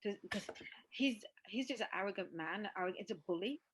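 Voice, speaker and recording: A woman's voice: mid-range voice, animated, a little fast, slight background noise. Woman hosting a podcast chat, emphatic, keeps repeating herself mid-thought. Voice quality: emphatic, repeats words while thinking. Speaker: podcast host. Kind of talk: casual discussion.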